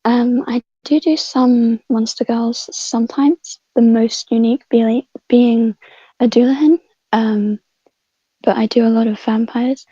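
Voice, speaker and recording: A woman's voice: a little high, fairly even intonation, unhurried, dark tone, husky, no room sound. A woman chatting casually as a voice actor guest, on a dull lo-fi recording. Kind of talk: casual chat. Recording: dull, lo-fi mic sound.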